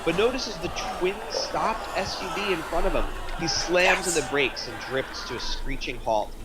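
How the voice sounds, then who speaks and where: A man's voice: fairly high pitch, normal speed, heavy background noise. A man reading stage directions as the narrator of a screenplay table read.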